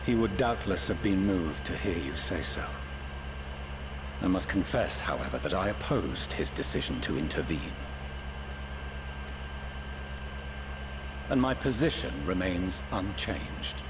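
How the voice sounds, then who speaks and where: A man's voice: low voice, sing-song, background noise. An actor playing a stern, proud middle-aged statesman and father in a fantasy game, speaking formally and loftily. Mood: firm, solemn. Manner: formal, measured, lofty diction. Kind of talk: dramatic fantasy game acting. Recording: orchestral music behind the voice.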